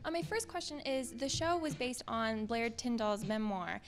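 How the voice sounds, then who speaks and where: A woman's voice: on the high side, expressive, moderate pace. A woman interviewer asking prepared questions in an upbeat, eager way at an indoor press event, speaking into a handheld mic.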